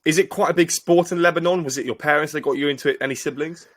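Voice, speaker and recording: A man's voice: on the high side, fast, a bit bright. A man hosting a podcast, introducing his guest and asking questions in an upbeat way. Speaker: podcast host. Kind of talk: interview questions.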